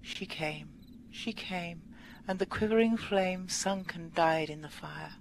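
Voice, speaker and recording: A woman reading poetry aloud in an expressive, measured and dramatic manner. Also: fairly low pitch, a little hiss or hum, low-quality recording. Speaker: poetry reader.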